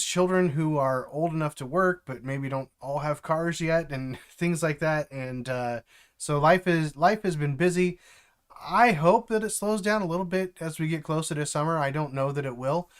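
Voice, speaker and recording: A middle-aged man, stuffed up from allergies, chatting casually on a live stream from home, halting and full of fillers. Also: fairly high pitch, clear, resonant tone. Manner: halting, with many fillers and restarts. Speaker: streamer and developer mentor.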